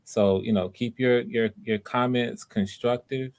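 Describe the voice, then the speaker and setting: A man's voice: medium pitch, steady tone, measured pace, a little mellow. A man hosting live auditions over a video call, talking casually and encouragingly, often repeating and rephrasing himself. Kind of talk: casual unscripted hosting talk.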